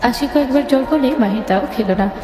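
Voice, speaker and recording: A woman's voice: fairly high pitch, quick pace, dark tone. A woman narrating a scripted story in the third person. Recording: echoey long reverb, music bed.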